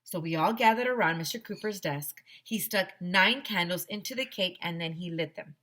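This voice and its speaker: A middle-aged woman with a fairly low voice reads a children's story aloud. She is expressive and deliberate, drawing out words for emphasis like a teacher addressing her class. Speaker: teacher reading aloud to pupils. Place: small decorated home classroom, indoors. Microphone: headset microphone.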